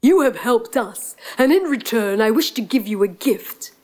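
A woman's voice: fairly high pitch, lively intonation, darkish tone. A woman voicing a big, regal male lion with a formal, solemn manner in a fairy tale. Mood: solemn, grateful. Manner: formal, slow, commanding.

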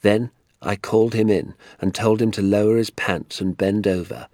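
A man voicing an excitable little old country doctor in an audiobook, kindly and indignant, with emphatic, drawn-out, theatrical delivery. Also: on the low side, no echo, studio quality, close to an everyday voice. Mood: indignant relish. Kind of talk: acted audiobook dialogue.

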